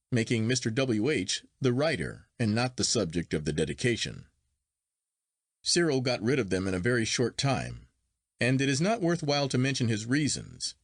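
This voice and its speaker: A man reading a literary story aloud as an audiobook narrator, in a measured, formal manner. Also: mid-range voice, dry recording, studio quality.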